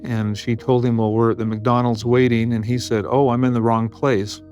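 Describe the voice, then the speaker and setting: An American man recounts a case in an interview, as a detective would. His mid-pitched voice is measured and matter-of-fact, with faint music underneath. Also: little pitch movement, darkish tone, dull audio.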